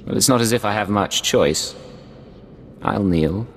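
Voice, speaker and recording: An actor voicing a cool, skeptical secret agent in a video game, with a dry, guarded manner. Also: male voice, fairly low pitch, unhurried, big-room sound, slight background noise, lightly acted. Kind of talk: video game dialogue acting.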